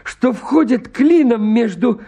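A man playing an excitable, pedantic, stubborn landowner suitor in a radio farce, arguing with fussy politeness. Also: very high voice, takes it slowly, vocal fry, slightly rough, heavily acted. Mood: indignant, insistent. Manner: fussily polite, pleading, argumentative. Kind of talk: Russian radio comedy acting.

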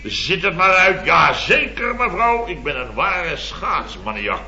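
An actor playing an exasperated, blustering boss in an old radio comedy, telling indignant tales with mimicked quotes. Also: male voice, on the high side, sing-song, slow pace, bright, forceful tone, soft background music, full character voice. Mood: flustered, indignant. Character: blustering, exasperated male boss of a building firm. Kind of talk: 1970s Dutch radio comedy acting. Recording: muffled old radio recording, roomy, studio audience.

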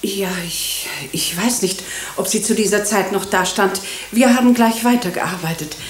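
A woman's voice: medium pitch, moderate pace, natural voice. Hospital nurse, female actor: dutiful, hesitant witness in a radio drama, roomy sound, slight hiss. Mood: hesitant, unsure. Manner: hesitant, careful. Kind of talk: German radio drama acting. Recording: roomy echo with faint hiss.

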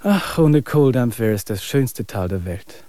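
A man's voice: mid-range voice, animated, measured pace, warm tone, no room sound, lightly acted. A man playing a wry, weary traveler. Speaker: main character. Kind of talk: radio play acting.

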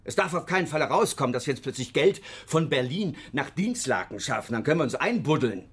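Husky, officious government man. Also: a little high, big pitch swings, fast. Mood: stern, secretive. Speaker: actor playing an official. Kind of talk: radio crime drama dialogue.

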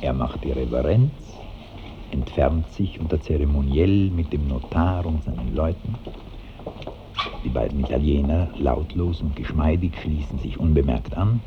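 A man's voice: rumbling low, moderate pace. A man narrating stage directions for a radio drama in a scripted style. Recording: dull 1960s radio recording, faint noise.

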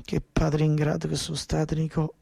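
A man's voice: a little high, completely flat delivery, moderate pace, vocal fry, warm tone, voice pushed up. Man acting a despairing southern Italian father, raised voice. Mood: anguished lament. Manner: lamenting, insistent repetition.

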